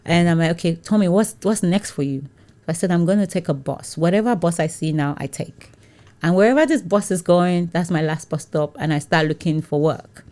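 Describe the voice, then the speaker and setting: A woman's voice: low-pitched, mellow. A woman with a Nigerian accent, a guest in an interview, telling her story with frequent laughter and acted-out voices. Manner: laughs often, acts out quoted voices. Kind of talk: animated storytelling in conversation.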